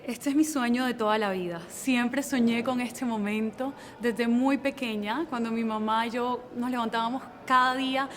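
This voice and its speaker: A woman's voice: a little high, steady tone, brisk, hardly any pauses. A young woman with a Colombian accent, giving articulate, earnest interview answers. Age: young adult. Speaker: beauty pageant contestant being interviewed.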